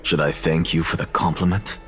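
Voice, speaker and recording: An actor playing a proud, cynical and defiant scholar in a video game, speaking in a measured, erudite and condescending way. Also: male voice, very deep voice, steady tone. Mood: sardonic. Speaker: scholar and professor character. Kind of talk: dramatic video game voice acting. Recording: music behind the voice.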